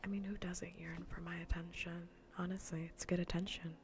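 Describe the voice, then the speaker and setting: Soft low-voiced woman whispering, slightly creaky. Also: little pitch movement, fairly quick, faint music behind. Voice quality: soft, breathy whisper mixed with low murmur. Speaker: streamer. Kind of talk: ASMR gaming livestream chat, soft whispering.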